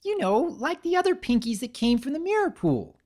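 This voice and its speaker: A woman voicing a hyper, high-strung young female cartoon pony in a dramatic story reading, emphatic and insistent. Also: very animated, normal speed, darkish tone. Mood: insistent, irritated. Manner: emphatic, insistent, strained cheer. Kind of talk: dramatic fan-fiction reading.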